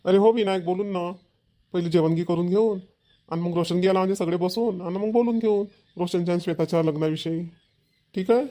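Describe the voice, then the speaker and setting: Village family man played by a male actor, Varhadi rural accent, slightly high voice, chatty, contented and emphatic, for a cartoon family comedy. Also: brisk, warm tone, slight background noise, close to an everyday voice. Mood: earnest. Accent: rural Maharashtrian, Varhadi.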